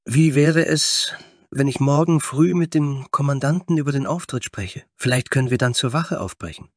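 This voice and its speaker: A man's voice: mid-range voice, moderate pace, dry recording, clean studio sound, voice pushed up, lightly acted. A man voicing a dreamy, vain male tiefling bard with an airy, effusive and theatrical manner in a fantasy story. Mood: conciliatory.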